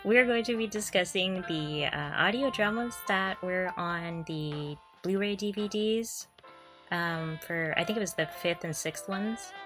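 A woman's voice: lowish voice, a little slow, music in the background. A woman co-hosting a casual fan podcast, bantering in a mock-serious, giggly way. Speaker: podcast co-host.

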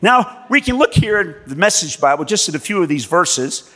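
A man preaching a sermon as pastor and missionary, telling stories with animated delivery and acting out the people in them. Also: high voice, normal speed. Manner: animated storytelling preacher, acts out voices in quotes. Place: church. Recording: long reverb of a church hall.

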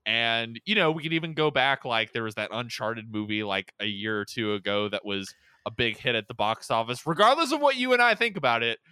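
A male podcast host with a bright, clean voice in a medium register, recorded close and dry. He chats casually and rambles, doubling back on his words as he goes. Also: medium pitch, lively intonation, brisk, clean tone, no room sound. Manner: rambling, with repeated words and self-interruptions. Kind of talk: casual chat about movies and games.

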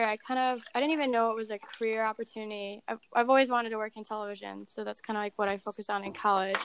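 A woman in her twenties, a podcast guest, chatting casually about her work in a level, monotone way. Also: fairly high pitch, noisy background. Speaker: podcast guest, assistant director. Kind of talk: casual chat.

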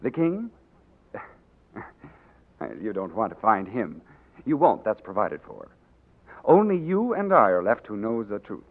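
An actor playing a sly, scheming courtier villain in a 1940s radio drama, smooth and mocking in manner. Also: male voice, lowish voice, big pitch swings, a little slow, long pauses. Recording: tinny 1940s radio broadcast.

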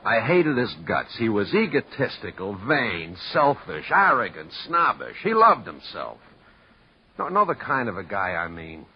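Cocky sarcastic comic, raspy and swoopy, wisecracks, old muffled radio drama. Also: male voice, fairly low pitch, huge pitch swings, unhurried, exaggerated voice. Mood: bitter. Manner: wisecracking, needling. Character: brash, sardonic male vaudeville comic, boastful and needling. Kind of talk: 1950s radio drama acting. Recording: muffled vintage radio recording.

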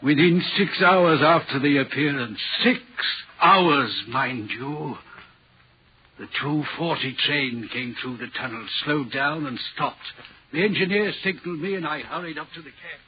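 A man's voice: fairly high pitch, unhurried, a little hiss or hum, close to an everyday voice. An actor playing an anxious, troubled railway signalman in an earnest, dramatic 1940s radio horror style. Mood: tense recounting. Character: haunted, anxious railway signalman telling of a spectre. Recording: dull, muffled 1940s radio recording.

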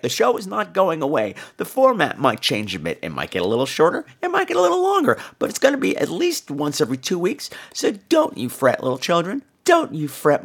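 Campy podcast man with wildly swooping pitch. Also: creaky, a bit bright. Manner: campy, theatrical, cheeky. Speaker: podcast host. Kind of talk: casual podcast sign-off chat.

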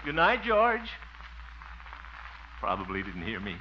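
An actor playing a flustered, self-pitying but well-meaning radio host in a 1950s American radio sitcom, muttering and exclaiming theatrically. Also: male voice, fairly low pitch, huge pitch swings, slow pace, full character voice. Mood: dejected muttering. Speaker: lead actor in radio comedy. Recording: dull, noisy 1950s radio recording.

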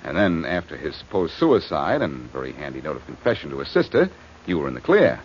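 An actor playing a cocky, quick-witted amateur detective in a 1940s radio crime drama, wisecracking in emphatic slang. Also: male voice, very deep voice, wildly swooping pitch, heavily acted. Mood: smug, explaining. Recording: muffled, hissy old radio recording.

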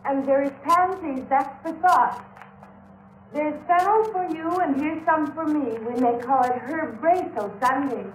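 High, delicate young actress. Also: female voice, measured pace. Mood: wistful. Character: gentle young actress reciting Shakespeare's Ophelia. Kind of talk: 1950s radio drama acting. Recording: roomy, distant 1950s radio recording.

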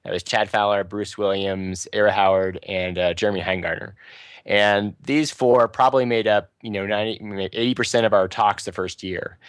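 A man who runs a local programming user group, talking casually in a loose, chatty way with lots of fillers and restarts, and a fairly loud voice. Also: on the low side, fairly even intonation, normal speed, smooth, no echo. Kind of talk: casual podcast panel talk.

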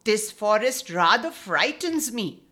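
A woman's voice: mid-range voice, big pitch swings, slow, sharp tone, heavily acted. A woman voicing a timid, frightened male ram in a children's story. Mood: worried.

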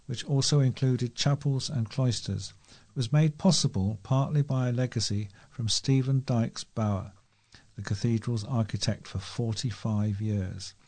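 A man's voice: medium pitch, warm tone. A man volunteer reading a local news feature aloud. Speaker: volunteer newspaper reader.

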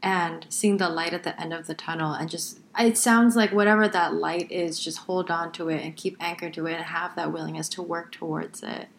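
A woman's voice: fairly low pitch, steady tone, fairly quick, creaky, hardly any pauses, a little hiss or hum. A woman hosting a casual podcast interview, asking questions and affirming her guest with short encouraging replies. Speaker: podcast host.

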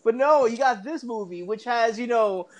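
A man's voice: extremely high pitch, moderate pace, poor audio. A man co-hosting a casual pop-culture podcast, ranting in an exasperated, mocking, emphatic way with swearing. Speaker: podcast co-host.

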